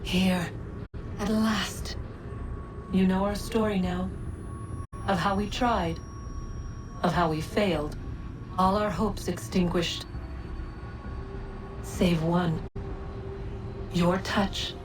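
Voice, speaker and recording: An embittered ancient goddess in a game cutscene. She speaks extremely slowly and grandly, sounding set back from the mic with music clearly behind her. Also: fairly low pitch, steady tone, far from the mic. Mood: solemn, beckoning. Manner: solemn, grandiose, deliberate phrasing. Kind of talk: theatrical video game cutscene acting.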